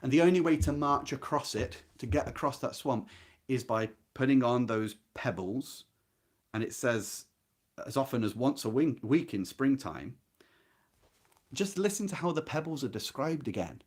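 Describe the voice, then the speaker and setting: Male readalong host, medium pitch, literary lecturer style, engaged and emphatic, given to rhetorical questions. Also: expressive, moderate pace. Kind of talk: book discussion with expressive read-aloud passages.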